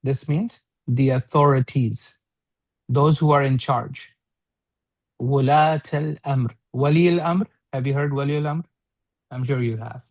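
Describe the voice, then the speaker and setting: A man's voice: medium pitch, a little slow, darkish tone, no echo. A man teaching a one-on-one religious read-along lesson, repeating and rephrasing points and checking with short questions.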